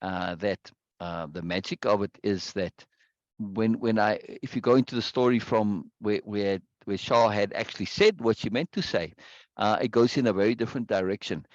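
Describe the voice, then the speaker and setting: A man's voice: on the low side, normal speed, no echo. A middle-aged man with a rural South African accent teaching informally and emphatically, often repeating himself and checking in with short tag questions. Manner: emphatic, repetitive, often checks with "right?" and "yes?". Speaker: teacher of a personal-growth course. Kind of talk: informal unscripted lesson. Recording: dull lo-fi sound.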